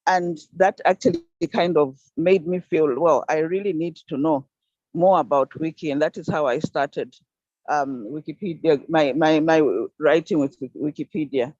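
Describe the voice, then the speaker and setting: An older woman from Zambia giving an earnest, deliberate talk on an online conference call, stressing key words. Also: low voice, darkish tone, no room sound. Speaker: panel speaker. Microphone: video call. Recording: dull dry video call audio.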